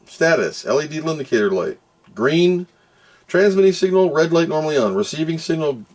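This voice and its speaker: A man's voice: on the high side, sing-song, moderate pace, a little mellow. A man doing casual hands-on product commentary, muttering to himself as he works and reading out screens. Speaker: product reviewer doing an unboxing and test.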